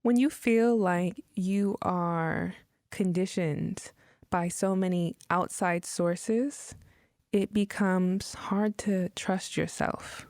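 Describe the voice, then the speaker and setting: A woman guides a meditation very slowly, leaving long pauses between short phrases. Her voice is mellow and slightly low, with soft breathy edges, recorded dry and close. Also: steady tone, no room sound. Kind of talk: guided meditation, scripted narration.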